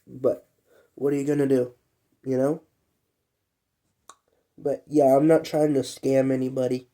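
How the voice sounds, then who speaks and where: A man's voice: medium pitch, flat delivery, unhurried, dark tone. A young man who is sick and coughs now and then, talking in a loose, rambling, slangy vlog monologue full of fillers. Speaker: vlogger.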